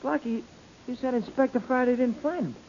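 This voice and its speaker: A man playing a dim, loyal, excitable small-time crook, stammering and ungrammatical, as comic sidekick in an old-time radio drama. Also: extremely high pitch, a little fast. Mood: loyal, plucky. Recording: hissy, dull old-time radio recording.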